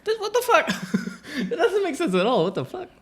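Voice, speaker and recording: A young man hosting a casual podcast, animated and heavy on swearing. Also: very high voice, normal speed, a little hiss or hum. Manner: animated, swears a lot, ranting. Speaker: podcast host.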